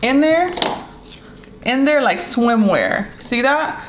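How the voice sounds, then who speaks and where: A woman's voice: high voice, expressive, slow pace. A young woman with a Mexican accent, chatting casually as she demonstrates a recipe in her home kitchen and sometimes searching for English words. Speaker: cooking vlogger. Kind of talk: casual cooking demo chat. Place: bright home kitchen, indoors. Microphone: camera mic from a few feet away. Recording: roomy kitchen with clear noise.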